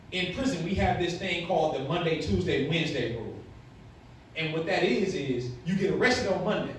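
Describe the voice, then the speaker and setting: A man in his late thirties giving a blunt, candid talk to young people, stressing points with rhetorical questions. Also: high voice. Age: late 30s. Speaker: motivational speaker to youth. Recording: very echoey room, far from mic, faint noise.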